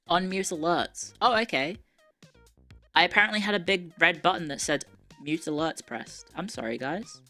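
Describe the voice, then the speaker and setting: A young woman from Ireland with a mixed Irish, English and Australian-tinged accent, chatting casually on a live stream, reading aloud with wry asides and quick self-corrections. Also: sits low, bright, forceful tone.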